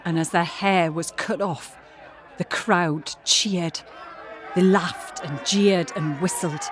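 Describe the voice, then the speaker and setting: A woman in an audio drama playing a shaken witness who gives grave, deliberate testimony. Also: on the low side. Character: shaken witness recalling wartime mob cruelty. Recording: noisy crowd behind the voice.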